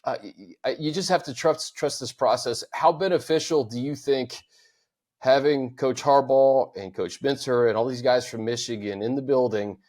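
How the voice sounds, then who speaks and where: A man's voice: mid-range voice, normal speed, darkish tone. A middle-aged man hosting a sports podcast, talking thoughtfully in a casual chat with frequent restarts and repeated words. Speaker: podcast host.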